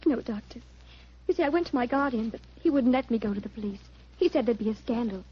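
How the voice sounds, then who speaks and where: An actress playing an anxious, pleading young sweetheart in a 1940s radio drama, earnest and emotional. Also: female voice, fairly high pitch, expressive, fast, husky. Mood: worried, earnest. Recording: dull 1940s radio recording.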